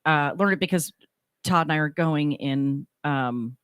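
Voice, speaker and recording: A woman's voice: sits low, measured pace, a bit bright, clear, resonant tone, dry recording, studio quality. A middle-aged woman co-hosting a radio talk show, chatting in a rambling way and often restarting her sentences. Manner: rambling, self-interrupting storyteller. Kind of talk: casual chat. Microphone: studio microphone.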